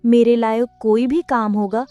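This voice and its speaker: A woman voicing a kind crippled female goat in a Hindi cartoon, speaking gently. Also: fairly high pitch, fairly even intonation, normal speed, dull audio, lightly acted. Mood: helpful. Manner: gentle, forgiving. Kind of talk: cartoon voice acting.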